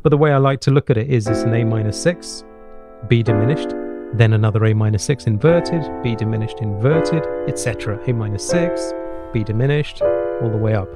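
A man's voice: on the low side, fairly quick. Middle-aged man teaching over piano. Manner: explanatory, checks with short questions like "right?". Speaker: piano teacher, host of online jazz lessons. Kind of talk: informal spoken music lesson, thinking aloud while demonstrating. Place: small home study with bookshelf, indoors. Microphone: studio mic on boom arm close to the mouth. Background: piano playing.

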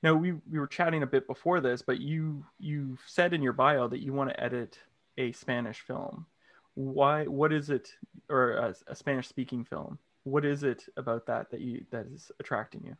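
A man in his thirties hosting a film interview show from a home room, reading a scripted intro and then asking questions with halting restarts. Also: mid-range voice, fairly even intonation, a bit bright. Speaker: host. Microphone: large studio condenser mic with headphones.

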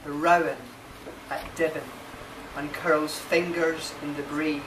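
A man in his forties reads his poetry aloud, unhurried and phrase by phrase. He is heard from a distance in an echoey space, with clear background noise. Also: a little high, flat delivery. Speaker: poet reading own work. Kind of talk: live poetry reading, short measured phrases. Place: marquee with a small seated audience. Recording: distant, echoey, noisy live recording.

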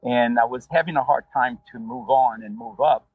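A man from Brazil with a Brazilian Portuguese accent, a rideshare driver telling his story in a relaxed, rambling way with many fillers. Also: medium pitch, moderate pace, slightly rough, dry recording, narrow, tinny audio. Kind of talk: casual interview storytelling.

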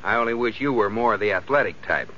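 An actor playing a good-natured but exasperated husband in a 1940s radio sitcom, flustered and protesting. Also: male voice, on the low side, fast talker, pushed and bright. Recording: muffled, noisy old radio broadcast recording.

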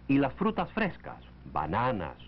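A male narrator from a 1940s educational film, heard through a dull, lo-fi old soundtrack. His pitch is mid-range and moves in lively, animated swings. He reads scripted lines in a measured, instructive manner. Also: slight background noise.

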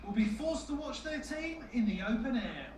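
A woman's voice: fairly high pitch, animated, normal speed, distant mic. A woman reading scripted narration for a television documentary. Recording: echoey TV re-recording from afar, music. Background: music.

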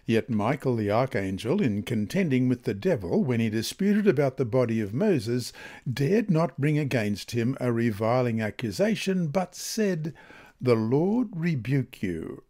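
A man reads a scripted Bible lesson and prayer in a measured, reverent manner with deliberate phrasing. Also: mid-range voice, sing-song, dark tone. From Australia. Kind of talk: scripted devotional narration and prayer.

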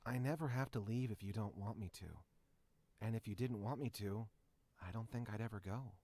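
A man voicing a tender, clingy male figure in a visual novel, soft and husky, slow and reassuring. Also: fairly low pitch, close to an everyday voice. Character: tender, clingy male ghost of a kids' show host.

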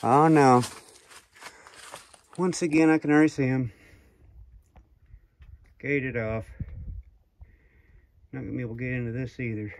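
A man's voice: mid-range voice, fairly even intonation, unhurried, long pauses. A man out of breath from walking, narrating a casual outdoor vlog as he explores. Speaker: vlogger exploring caves. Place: outdoors in woods and hillsides. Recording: handheld outdoor recording with clear noise.

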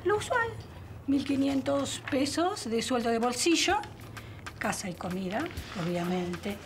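A woman's voice: a little high, sing-song, a little slow, background noise. An actress playing a self-assured woman applying for a maid's job, speaking formally and precisely. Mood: composed. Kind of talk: television drama acting. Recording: music in the background.

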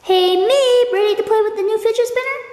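A woman voicing a whiny, indignant young sock puppet in a homemade puppet show, with dramatic, drawn-out complaints. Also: very high-pitched, a little mellow. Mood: puzzled. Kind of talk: improvised amateur puppet show dialogue. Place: indoors, room at home with wooden floor. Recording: echoey hard-floored room, faint hiss.

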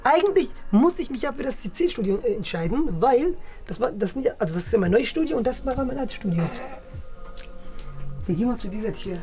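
A man's voice: extremely high pitch, animated, brisk, darkish tone. A young man talking casually to camera as a game contestant in a small office, deliberating aloud in a mock-dramatic way. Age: young adult. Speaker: challenge contestant addressing viewers. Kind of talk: casual playful vlog talk. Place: small indoor office studio. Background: clear background music.

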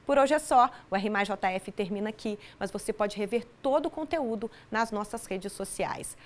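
A woman, presenting scripted news in a formal, clearly articulated way from a TV studio on a lapel mic. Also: medium pitch, normal speed, a little hiss or hum.

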